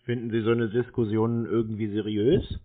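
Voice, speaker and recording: A man's voice: on the low side, flat delivery, moderate pace. Unruffled man on a tinny phone line. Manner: dry, provocative, unruffled. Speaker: prank call creator baiting call-centre scammers. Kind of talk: casual phone conversation. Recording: narrow phone-band radio-like sound. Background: phone call.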